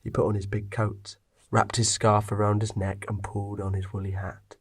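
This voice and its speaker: A man narrating a scripted story expressively, with dramatic pauses. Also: on the low side, monotone, slightly rough.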